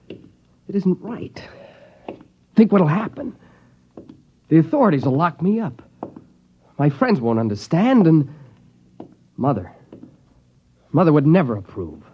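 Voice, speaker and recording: An anxious, agitated man, swinging pitch. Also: very animated, a little hiss or hum. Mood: tormented. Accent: American. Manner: nervous, urgent, halting. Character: anxious, agitated man fighting a homicidal urge. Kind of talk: mid-century American radio drama acting. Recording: dull vintage radio recording.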